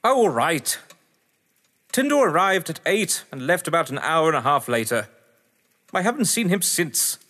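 A man's voice: fairly high pitch, sing-song, normal speed, pauses often, sharp tone, heavily acted. A man acting in an audio drama as a witty, haughty husband under questioning, verbose, sardonic and theatrical. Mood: indignant. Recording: echoey hall-like reverb.

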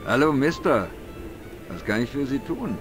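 A man's voice: medium pitch, sing-song, moderate pace, lightly acted. An actor playing a simple, gullible, talkative village man in an adventure game, with naive, colloquial speech. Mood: naive curiosity. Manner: naive, colloquial, exaggerated swings of emphasis. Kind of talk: dubbed adventure game dialogue. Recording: music behind the voice. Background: game music.

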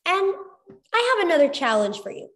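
A young adult woman reading a children's story aloud as expressive scripted narration. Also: extremely high pitch, a little fast.

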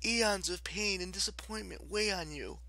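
Man voicing a soft, high, soothing young girl. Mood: soothing sympathy. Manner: soothing, hypnotic, measured coaxing. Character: persuasive teenage girl, kind, sympathetic, determined. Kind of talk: audiobook character acting.

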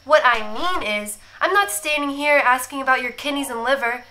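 A woman's voice: high voice, moderate pace, pushed and bright, slight background noise, low-quality recording. A woman playing an earnest, anxious android who insists she is alive, hesitant and guarded, in a sci-fi radio drama. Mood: indignant. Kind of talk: science fiction radio drama acting.

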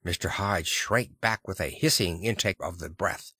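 A man reading literary fiction as an audiobook narrator, with a measured, deliberate storytelling delivery. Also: low-pitched, sharp tone, husky, no echo, studio quality.

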